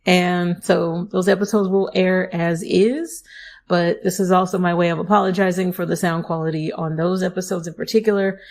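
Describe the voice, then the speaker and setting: A woman's voice: lowish voice, fairly even intonation, normal speed, darkish tone. Chatty woman podcast host, slightly scratchy. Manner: chatty, upbeat, self-correcting asides. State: scratchy voice from allergies. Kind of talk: affirmation podcast monologue.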